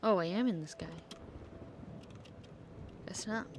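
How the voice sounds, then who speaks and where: Slow, playful woman streamer chatting over gameplay. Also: mid-range voice, a little hiss or hum. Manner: playful, mutters to herself, swears casually. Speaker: game streamer. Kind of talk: casual gameplay commentary.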